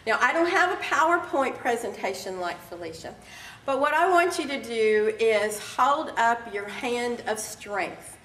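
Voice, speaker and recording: A woman with a slightly raspy voice gives an encouraging, chatty keynote speech to a live audience at a conference. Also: a little high, expressive, measured pace, a bit bright. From Texas. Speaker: keynote speaker. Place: large conference hall. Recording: echoey hall, voice from a distance.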